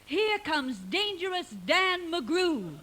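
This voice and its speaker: A woman's voice: very high-pitched, sing-song, takes it slowly, loud music behind the voice, heavily acted. A woman playing a sweet, doting, melodramatic saloon sweetheart in a 1950s radio comedy. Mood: worried, pleading. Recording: very noisy old 1950s radio recording.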